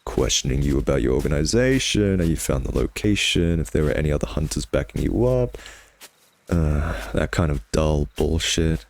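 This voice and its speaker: A man's voice: rumbling low, lively intonation, normal speed, creaky, darkish tone, exaggerated voice. A man playing a cocky, sardonic vampire nemesis who teases and taunts the listener in an intimate ASMR roleplay. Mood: mocking, playful.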